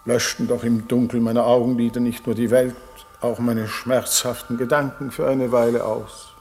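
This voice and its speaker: A man plays a sorrowful, weary king in a radio play. His voice is of middle pitch. The delivery is solemn and mournful, as of a ruler worn down by grief. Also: level tone, moderate pace, darkish tone, soft background music, close to an everyday voice. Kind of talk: German radio play acting.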